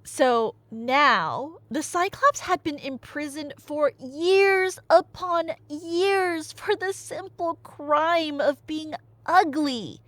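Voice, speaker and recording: A woman's voice: sits high, very slow, dry recording. A woman telling myths in a casual, rambling roleplay style, nerdy and slangy, often cutting in on herself with asides. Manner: nerdy, slangy, animated, self-interrupting asides. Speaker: audio roleplay performer and storyteller. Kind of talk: casual, rambling comic myth retelling in audio roleplay.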